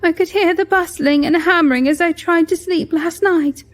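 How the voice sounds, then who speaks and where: A woman playing a petulant, wounded young royal mistress in a plaintive, high-strung, melodramatic audio drama style. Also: steady tone, normal speed, faint music behind.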